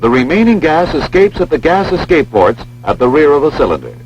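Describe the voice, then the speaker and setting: An American man reading scripted instructional narration for a training film in a measured, precise, formal manner. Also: a little high, sing-song, darkish tone, slightly rough. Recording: dull lo-fi film soundtrack, humming noise.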